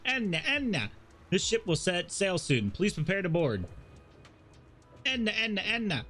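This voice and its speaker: A man's voice: sits high, sing-song, moderate pace, pushed and bright, slight background noise, pitched above the performer's own voice, exaggerated voice. A man voicing a chirpy, polite little game creature that announces things in a singsong way.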